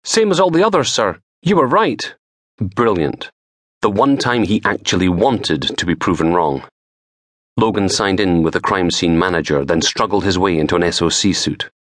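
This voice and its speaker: A middle-aged man reading a crime novel aloud in a dramatic, measured storytelling manner. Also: low voice, no echo, clean studio sound. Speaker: author reading own audiobook. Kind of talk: scripted crime fiction narration.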